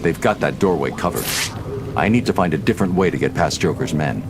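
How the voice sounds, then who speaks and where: A man voicing a grim, determined vigilante hero in a video game, terse and deadpan, with a gravelly voice. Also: a bass voice, a little fast, background noise. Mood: resolute.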